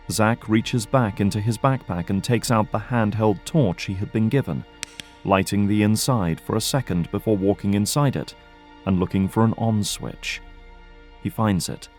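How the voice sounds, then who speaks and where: A man's voice: lowish voice, a little mellow. A man giving scripted narration as the storyteller of an audio drama.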